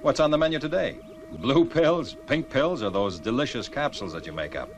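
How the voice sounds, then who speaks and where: Actor as a breezy space pilot, lively delivery. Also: male voice, mid-range voice, a little fast, faint music behind, natural voice. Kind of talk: 1960s puppet sci-fi series acting.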